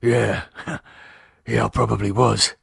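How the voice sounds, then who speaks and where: A man voicing an anxious working-class husband, speaking in nervous, halting, pleading bursts. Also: lowish voice, steady tone, creaky, husky, dry recording, studio quality, heavily acted. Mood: shaken.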